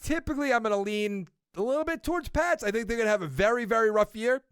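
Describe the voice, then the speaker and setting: Young man, Boston accent, high voice, casual sports podcast host, thinks aloud and corrects himself, dry sound. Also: fast talker, a bit bright, clean tone, dry recording.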